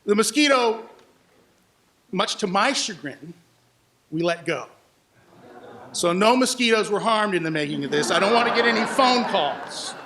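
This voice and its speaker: A man's voice: high-pitched, animated, measured pace, pauses often, bright, forceful tone, slight background noise. A man speaking as a sound editor, telling anecdotes in a public presentation.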